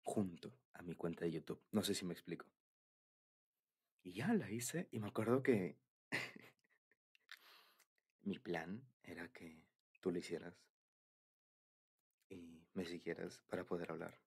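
Husky low-voiced young Mexican man. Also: unhurried, long pauses, darkish tone. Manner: bashful, hesitant, giggly. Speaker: ASMR roleplay creator. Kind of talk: intimate boyfriend ASMR roleplay, casual confiding talk.

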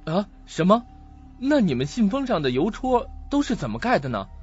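A man playing an excitable young man who questions eagerly and urgently in a suspense radio drama. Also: sits high, moderate pace, husky, exaggerated voice. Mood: startled, urgent. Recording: dull lo-fi audio. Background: faint music.

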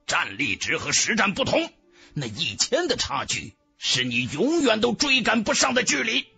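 Hot-tempered elite disciple played by a male reader, fantasy audiobook, raspy, raised pitch, proud and swooping. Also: huge pitch swings, moderate pace, pushed and bright, soft background music, full character voice. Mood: furious. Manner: indignant, haughty. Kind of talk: dramatic fantasy audiobook dialogue.

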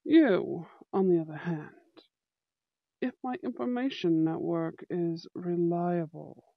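Woman as a slow-talking, haughty young school prince. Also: fairly low pitch, no echo, dull audio, voice pushed down. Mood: gracious, formal. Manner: haughty, formal, commanding. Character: young male bad-boy school prince, protective, aloof. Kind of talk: amateur ASMR audio roleplay acting.

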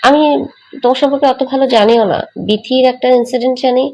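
A woman's voice: high voice, fairly even intonation, quick pace, a little mellow. A woman with a Bangladeshi accent talking privately and casually on a phone call, direct and questioning. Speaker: caller in a private phone conversation.

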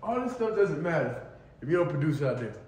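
A man's voice: a little high, big pitch swings, a little fast. A man bantering playfully on a tour of a college basketball locker room and gym. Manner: playful trash talk. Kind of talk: casual banter on a campus tour. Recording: echoey, distant, noisy arena.

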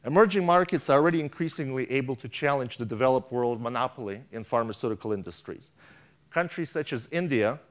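A man's voice: medium pitch, animated, fast. Man, middle-aged, conference keynote, read from a tablet, restarts words, lapel mic, large hall stage. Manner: reads from a tablet with frequent restarts and stumbles. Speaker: keynote speaker. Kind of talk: reading a prepared conference speech.

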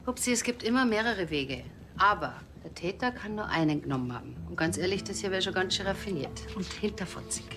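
An actress playing a brisk, wry forensic pathologist with a Bavarian accent in a TV drama. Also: female voice, on the low side, lively intonation, over music.

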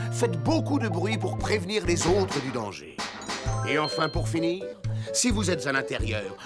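A man voicing a confident rescue truck that teaches safety in a didactic way in a French-language cartoon, over loud music. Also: sits high. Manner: clear, didactic.

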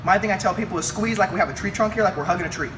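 Fast-talking young male gym coach. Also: sits high. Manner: energetic, coaching while lifting. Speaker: fitness coach. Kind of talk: workout instruction. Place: indoor weight room. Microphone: camera mic from a few feet away. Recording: distant camera audio with room noise.

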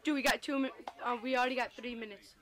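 A teenage girl filming friends on a school bus, chatting and calling out loud greetings. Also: on the high side, steady tone, fast talker. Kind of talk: giggly casual chat. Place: school bus among classmates. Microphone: handheld camera. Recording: noisy bus crowd.

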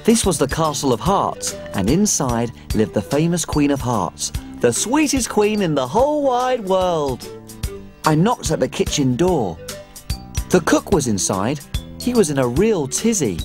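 A man's voice: fairly high pitch, very animated, unhurried, a little mellow, soft background music. A man playing a tiny, cheerful cook who tells a children's storybook tale in a bouncy, sing-song way. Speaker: children's TV presenter.

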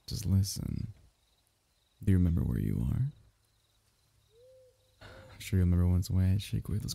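Man playing a very dark, husky, soothing alien symbiote. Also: sits low, exaggerated voice. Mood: soothing, coaxing, then calm, explaining. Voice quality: husky, breathy, often dropping into whisper. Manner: soft, coaxing, intimate, reassuring. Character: possessive, soothing alien symbiote, male, semi-liquid creature. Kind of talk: ASMR roleplay.

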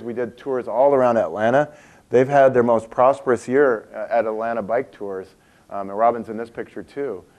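A man's voice: mid-range voice, a little mellow. A man in his fifties from Montana, giving a chatty keynote to a conference audience in a large meeting room, asking them questions and laughing at his own stories. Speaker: keynote speaker.

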